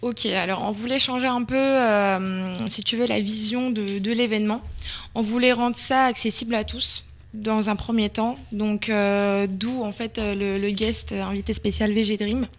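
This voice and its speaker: A woman's voice: medium pitch, fairly even intonation, normal speed. A woman from Georgia speaking informally as an interview guest, explaining things with frequent hesitations. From Georgia (country). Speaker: interview guest, event organizer. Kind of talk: casual chat, explaining.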